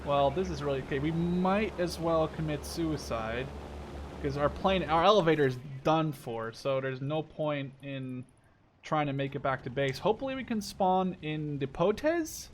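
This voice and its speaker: A man's voice: fairly high pitch, expressive, moderate pace, slight background noise. A man in his twenties giving casual, joking, slangy live gameplay commentary as a gaming video host. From Canada. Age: 20s. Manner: self-deprecating, joking, slangy. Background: game vehicle sounds.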